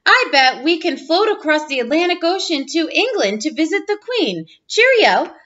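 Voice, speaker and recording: Woman, 30s, high and very lively read-aloud for young children, playful and expressive. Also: normal speed. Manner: expressive, playful exclamations and sound effects. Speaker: teacher reading aloud. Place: small room at home, indoors. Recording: dull lo-fi webcam audio.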